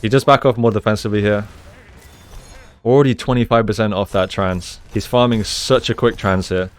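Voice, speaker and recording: A man with a fairly low, clean and smooth voice breaks down video game play as a coach. He explains things in a measured way, often repeating a phrase to stress a point. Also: steady tone. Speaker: esports coach, video host. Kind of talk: gameplay analysis commentary.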